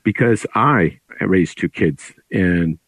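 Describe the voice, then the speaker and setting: A middle-aged man, an author guest, explaining his work in a deliberate, conversational storytelling way. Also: deep voice, expressive, a bit bright, breathy edge, no echo.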